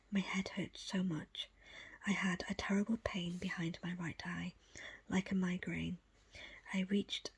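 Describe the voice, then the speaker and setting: A woman reading a book aloud as ASMR, in a breathy, unvoiced whisper with a slow, hushed storytelling delivery. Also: on the low side. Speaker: audiobook reader.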